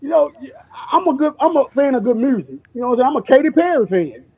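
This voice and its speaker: A man's voice: very high voice, sing-song, quick pace. A man from Memphis, telling stories as a guest in casual, slangy chat, repeating himself for emphasis. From born in New York, grew up in Memphis. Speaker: guest, music producer. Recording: dull lo-fi radio-like sound.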